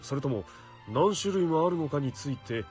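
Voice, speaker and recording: A man's voice: on the high side, animated, fast, darkish tone, faint music behind, lightly acted. A man voicing a stern, earnest young knight in a Japanese visual novel, speaking formally as he mutters to himself. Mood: pompous lecturing.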